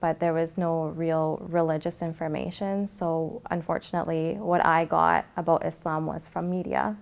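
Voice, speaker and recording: A young woman from Canada calmly telling her personal story, reflective and soft-spoken with frequent pauses and fillers. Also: deep voice, creaky. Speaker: interviewee sharing her story. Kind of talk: personal testimony.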